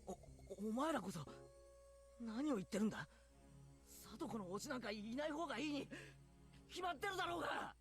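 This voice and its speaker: A defensive teenage boy from a visual novel, high and agitated, swinging widely in pitch. He stammers emotionally over background music. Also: sing-song, very fast, pauses often. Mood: angry outburst. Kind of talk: Japanese visual novel voice acting.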